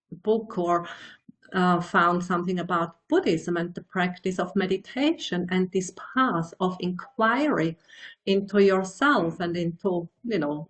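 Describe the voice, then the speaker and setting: A woman in her sixties from Switzerland, a meditation teacher answering interview questions in a thoughtful way, pausing to find her words. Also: mid-range voice. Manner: slow, thoughtful, searching for words.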